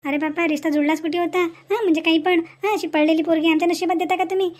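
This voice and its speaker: A woman's voice: very high voice, fairly even intonation, fast, a little mellow. A woman voicing a haughty groom's mother in an amateur cartoon, curt and reproachful. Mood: dismissive.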